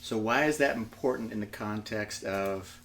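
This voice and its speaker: Low man, faint background noise. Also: moderate pace, fairly bright tone. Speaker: co-host with executive experience. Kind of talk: casual conversational discussion.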